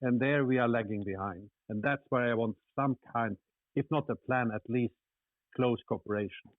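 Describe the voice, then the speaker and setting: A man's voice: medium pitch, moderate pace, dry recording. A man speaking in an online panel discussion, emphatic, often stammering and restarting his words. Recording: tinny phone-like call audio.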